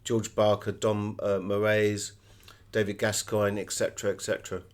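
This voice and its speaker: British guy, middle aged, lowish voice, chatting through stories in an interview. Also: monotone, normal speed. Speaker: author and artist being interviewed. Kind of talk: conversational interview, anecdotal storytelling.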